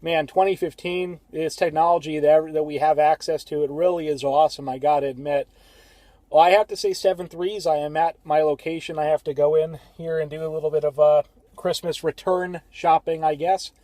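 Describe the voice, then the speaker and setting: A man's voice: on the high side, steady tone, fairly quick, dark tone, no echo. A young American man chatting casually as an amateur radio operator, recorded inside a car. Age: young adult. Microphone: phone camera facing him, close.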